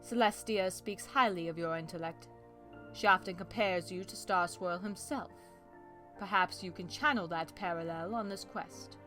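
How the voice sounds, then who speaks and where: A woman's voice: lowish voice, normal speed, pushed and bright. Lady doing a royal night pony princess, formal old-timey speech, worried, cartoon dub with music behind. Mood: solemn, formal. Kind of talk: fan comic dub acting.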